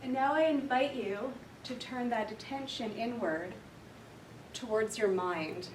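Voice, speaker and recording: A woman counsellor with a quiet voice leading a slow, gentle guided meditation for a workshop audience. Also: fairly high pitch, a little hiss or hum. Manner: soft, slow, gentle and unhurried. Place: large echoey room with an audience. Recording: echoey room recorded from far off.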